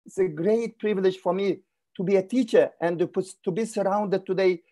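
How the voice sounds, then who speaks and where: Man, middle-aged, mellow voice, slightly high pitch, webinar speaker, courteous, video call. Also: moderate pace. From Kyrgyzstan. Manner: formal and courteous, repeats words while searching for them. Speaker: guest speaker. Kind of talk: webinar presentation. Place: indoor room.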